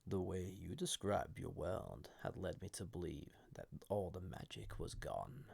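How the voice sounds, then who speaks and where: A man playing a flirty, dangerous ancient villain entity in an intimate audio roleplay, with a gravelly, husky voice and deliberate delivery. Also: low-pitched, a little mellow, no room sound, heavily acted. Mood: intrigued. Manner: slow, deliberate, intimate, with pauses. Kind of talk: intimate scripted audio roleplay addressed to listener.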